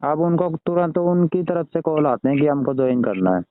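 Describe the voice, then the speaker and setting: Guy chatting on a phone call, clean smooth voice, dry but tinny line. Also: on the high side, animated, normal speed, no room sound. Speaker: network marketer asking for advice. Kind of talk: casual phone conversation.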